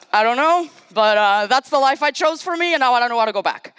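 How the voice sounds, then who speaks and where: Woman, young adult, tech conference speaker, fairly high voice, crisp, self-mocking humor. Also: a bit bright, rough recording. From Portugal. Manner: casual, self-deprecating, joking. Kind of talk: live-coding tech talk. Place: conference stage.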